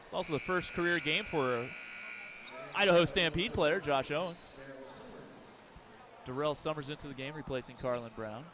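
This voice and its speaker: A man calling live basketball play-by-play for radio in clipped descriptive phrases, quick to get excited. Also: a little high, crowd noise. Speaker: play-by-play announcer. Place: courtside in an arena. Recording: noisy gym with bouncing balls. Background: basketball game arena noise.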